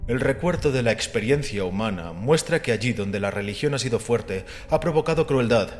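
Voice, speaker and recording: A man voicing a calm, assured and scornful critic of religion, measured and didactic, in a dramatized audiobook. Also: mid-range voice, natural voice. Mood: assertive, scornful. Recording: long reverberant hall with music behind. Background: music.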